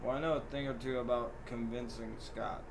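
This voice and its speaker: A man's voice: medium pitch, fairly even intonation, brisk, vocal fry, background noise. A man doing casual reaction commentary while streaming a game, throwing in joking, mocking asides. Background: game music.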